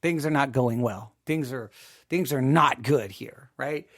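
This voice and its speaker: A man's voice: medium pitch, measured pace, a bit bright, no room sound, clean studio sound. A man hosting a podcast with informal personal commentary, emphatic, often repeating and rephrasing himself. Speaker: podcast host.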